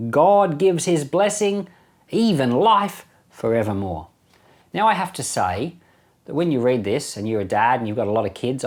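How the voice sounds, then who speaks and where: A middle-aged man gives informal Bible commentary as a pastor, explaining things conversationally and repeating phrases for emphasis. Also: mid-range voice. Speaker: pastor and Bible podcast host.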